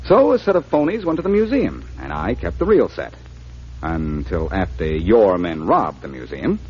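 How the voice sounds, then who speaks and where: An actor playing a cool, confident reformed thief turned sleuth in a 1940s radio drama, brisk and wryly matter-of-fact. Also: male voice, low-pitched, wildly swooping pitch, darkish tone. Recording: dull, noisy 1940s radio recording.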